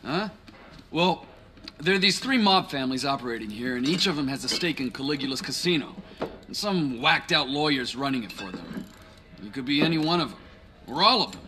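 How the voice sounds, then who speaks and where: A man's voice: medium pitch, expressive, a little fast, noisy background, lightly acted. A man playing an irascible, shrewd crime boss in a video game cutscene, articulate and commanding. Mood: weary, exasperated.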